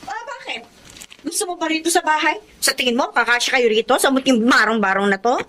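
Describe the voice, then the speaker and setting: A woman playing a stern, sharp-tongued elder sister in a radio drama, blunt and commanding. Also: high-pitched, expressive, fairly quick. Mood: scolding. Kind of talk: Tagalog radio drama acting.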